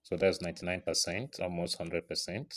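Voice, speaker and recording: Low-voiced man giving a casual tutorial. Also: fairly even intonation, brisk, very noisy. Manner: frequent check-in tags like right and okay. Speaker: tutorial presenter. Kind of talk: casual step-by-step screen tutorial narration.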